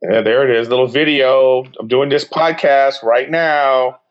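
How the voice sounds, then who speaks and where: A man chatting on a podcast as a guest, jokey and animated, often trailing off and restarting. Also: mid-range voice, measured pace. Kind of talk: casual podcast chat. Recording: dull lo-fi sound.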